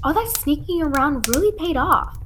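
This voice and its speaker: A girl playing a high-voiced plucky sleuth's helper. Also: animated, normal speed, background noise. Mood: pleased. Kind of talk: old-time radio drama acting.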